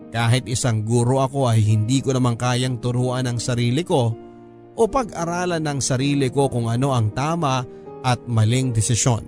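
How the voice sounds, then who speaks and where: A man's voice: medium pitch, darkish tone. A man reading a listener's letter as a radio host, in earnest, pleading dramatic narration. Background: background music.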